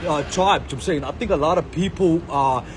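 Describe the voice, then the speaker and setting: A man's voice: a little high, fast talker, a little hiss or hum. A man from Sri Lanka recording a rambling outdoor monologue as a content creator, full of slang, fillers and repeated catchphrases. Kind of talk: rambling self-filmed monologue. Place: outdoors in a public space.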